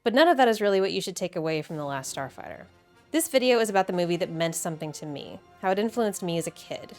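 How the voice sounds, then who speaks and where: A woman's voice: fairly low pitch, expressive, fast talker, soft background music. A woman with an American accent narrates a scripted video essay in a wry, conversational, emphatic way with casual asides. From Midwestern United States.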